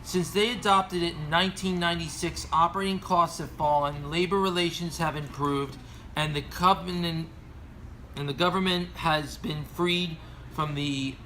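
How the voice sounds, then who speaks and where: A man's voice: a little high, steady tone, normal speed, bright, forceful tone, slight background noise, poor audio. An American man reading scripted opinion commentary as an aviation commentator, earnest and formal, stumbling over some words.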